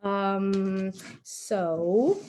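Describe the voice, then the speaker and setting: A woman's voice: medium pitch, extremely slow, warm tone, slight background noise. A woman, perhaps in her thirties, hosts a casual craft session for children in a chatty, encouraging, self-deprecating way.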